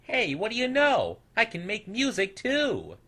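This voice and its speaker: A man's voice: high-pitched, huge pitch swings, unhurried, pushed and bright, pitched above the performer's own voice, heavily acted. A man voicing a small, young, naive storybook creature, earnest and easily wounded, with a childlike manner. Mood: eager, excited.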